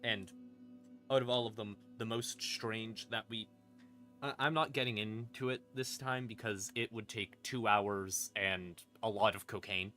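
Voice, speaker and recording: A man casually explaining game lore on a stream in an irreverent, sweary, rambling way, with dramatic pauses for emphasis. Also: lowish voice, fairly even intonation, bright, forceful tone. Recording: music playing in the background. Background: creepy ambient music.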